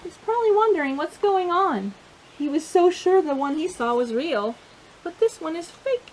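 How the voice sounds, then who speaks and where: A woman hosting storytime, reading a picture book aloud to children in an expressive way, with chatty asides and questions to the listeners. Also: very high-pitched, normal speed, a little hiss or hum, rough recording.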